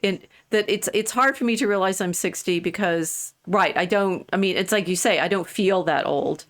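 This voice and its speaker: A woman's voice: mid-range voice, moderate pace. A woman in her sixties chats loosely as a podcast host, circling back and restarting her sentences and laughing often. Her voice sits mid-range for a woman. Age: 60s. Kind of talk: casual chat.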